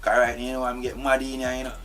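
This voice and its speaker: A man's voice: mid-range voice, monotone, racing, music in the background, natural voice. A man playing a streetwise captive who is tied up, speaking in Jamaican Patois, irritable and constantly adding "you know". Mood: irritated.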